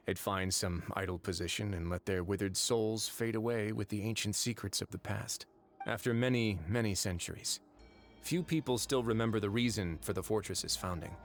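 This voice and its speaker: An actor playing a composed, wry young duke in a video game, speaking in a deliberate, measured storytelling manner. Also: male voice, on the low side, lightly acted. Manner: deliberate, dryly wry, unhurried. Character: composed, wry young duke who runs an underwater prison fortress.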